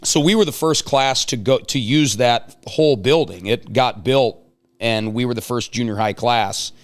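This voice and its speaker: A man's voice: medium pitch, normal speed. A middle-aged man hosting a casual chat, telling anecdotes and joking as he prods his guests. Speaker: show host.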